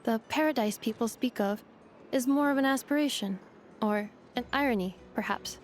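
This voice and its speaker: A woman voicing a polite female robot guide in a video game, formal, measured and analytical. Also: a little high, natural voice. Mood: solemn storytelling. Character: polite, precise young female robot guide, literal and composed. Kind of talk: video game character dialogue. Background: music.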